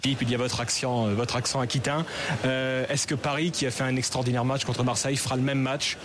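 A man's voice: mid-range voice, level tone, fast talker. A man asking questions in a post-match television interview from an archive broadcast. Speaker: pitchside interviewer. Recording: noisy outdoor archive broadcast.

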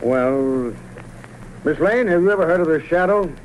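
A man plays an earnest, worried police official in an old radio drama. His voice sits fairly high and he speaks formally, over slight recording noise. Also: lively intonation, moderate pace, darkish tone, close to an everyday voice, rough recording. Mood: troubled politeness. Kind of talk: 1940s radio acting.

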